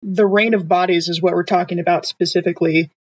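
A woman's voice: on the low side, steady tone, fast, breathy edge, muffled recording. A woman playing a tabletop roleplaying game, speaking casually and inquisitively in character. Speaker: tabletop RPG player.

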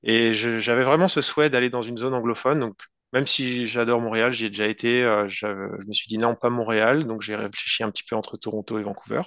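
Gravelly-voiced hesitant French man. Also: medium pitch, steady tone, fairly quick, fairly bright tone, dry recording, lo-fi sound. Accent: French (France). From France. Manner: hesitant, many fillers and restarts. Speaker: guest entrepreneur. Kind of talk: casual interview answers.

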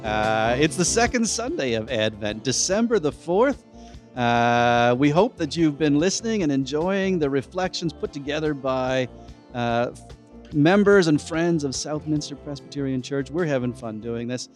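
Relaxed, rambling church podcast host, a man. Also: a little high, unhurried, soft background music. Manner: relaxed, rambling with many fillers. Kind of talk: casual chat.

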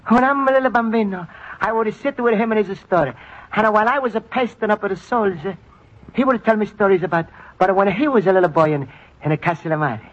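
An actor playing a naive, sweet-natured Italian immigrant in a radio sitcom, speaking earnest broken English with an Italian accent. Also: male voice, sits high, quick pace. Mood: wistful. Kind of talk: old-time radio sitcom acting. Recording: dull vintage radio recording.

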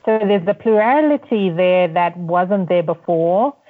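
A woman's voice: fairly low pitch, a little slow. A woman with a Zimbabwean accent, a guest on a podcast, talking things through reflectively with many restarts and fillers. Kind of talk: casual interview conversation. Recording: tinny phone-like line.

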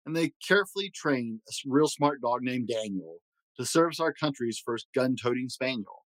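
Animated man reading a children's storybook. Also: medium pitch, moderate pace, dry recording, low-quality recording. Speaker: host reading a children's book aloud. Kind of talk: animated read-aloud storytelling.

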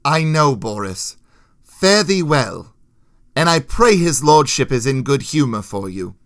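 A man's voice: mid-range voice, big pitch swings, unhurried, fairly bright tone. A man voicing a jovial, wry knight and master at arms with formal, archaic phrasing in a fantasy audiobook, over music. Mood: pleased.

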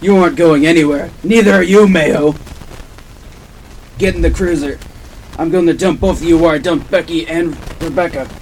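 A man's voice: on the high side, moderate pace, darkish tone, lightly acted. A man playing a hot-tempered, vengeful, confrontational police detective in an amateur old-time radio drama, on a noisy recording. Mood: menacing. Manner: confrontational, accusing.

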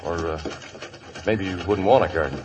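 A man plays an earnest cowboy in an old radio Western. His voice is fairly low and halting, with an uneasy edge, over light noise of a 1950s broadcast. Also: close to an everyday voice. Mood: gentle, hopeful. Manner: halting, pleading. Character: earnest, uneasy young cowboy, guilt-ridden fiancé.

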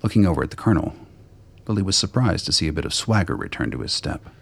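A man narrates a radio play in a scripted storytelling style. His voice is extremely low, with a gravelly creak and a husky, rough grain. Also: very animated, fast talker, a bit bright.

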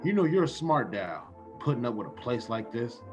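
A man's voice: mid-range voice, very animated, moderate pace, close to an everyday voice. An actor playing a tough, slangy, streetwise man in an old-time radio drama. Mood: softened. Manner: slangy tough-guy talk. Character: tough, suspicious streetwise man with a soft streak. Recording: dull sound with music.

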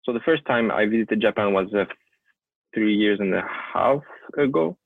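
A young French man with a French accent, a photographer telling his story in a casual interview, often halting and repeating words as he searches for English. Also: on the low side, lively intonation, gravelly, no room sound. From France. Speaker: guest photographer. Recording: tinny call audio, dry.

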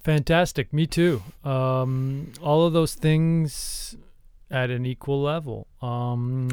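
A man's voice: medium pitch, a little mellow, clean tone, no echo, studio quality. A man co-hosting a podcast in a casual, conversational style. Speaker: podcast co-host. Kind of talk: casual podcast talk about screenwriting.

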